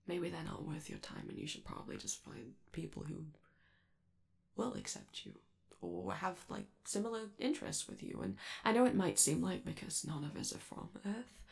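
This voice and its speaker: A woman's voice: lowish voice, vocal fry, exaggerated voice. A woman playing a friendly, nervous robot alien crewmate in a comforting ASMR roleplay, rambling and hesitant as they reassure the listener. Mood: hesitant, encouraging.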